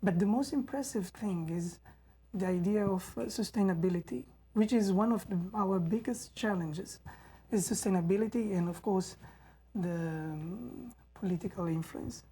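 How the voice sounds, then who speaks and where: A woman's voice: mid-range voice, moderate pace, warm tone, husky, poor audio. A woman from Mozambique, a guest in a studio interview, speaking hesitantly with many fillers.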